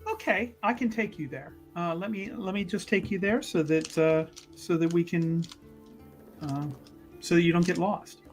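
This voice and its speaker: A man's voice: high-pitched, fairly even intonation, normal speed, faint music behind. Man playing a stammering, fawning academic schemer. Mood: polite stalling. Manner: stammering, wordy, fawning formality. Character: evasive, obsequious male university cult leader, stalling and limping.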